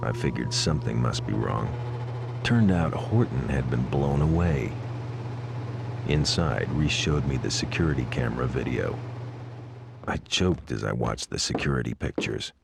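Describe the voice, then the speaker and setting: An actor playing a weary, somber police pilot, giving terse scripted first-person narration in a video game, on a noisy recording. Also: male voice, rumbling low, animated, normal speed, vocal fry, exaggerated voice. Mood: grim, bitter. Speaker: narrator protagonist.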